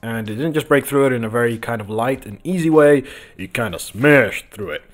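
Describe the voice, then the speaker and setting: A man hosting a casual livestream from his home office, croaky from just waking up, rambling with lots of ums as he talks to viewers and the chart. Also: medium pitch, expressive, fairly quick. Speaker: crypto livestream host. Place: home office with window open.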